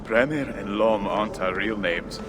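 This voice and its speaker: A man's voice: lowish voice, moderate pace, a crowd in the background, natural voice. A man playing a sour, shifty dwarf rogue in a video game, with a sardonic, grumbling delivery. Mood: wary, sardonic. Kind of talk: video game cinematic acting. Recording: music under the voice. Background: cinematic game music.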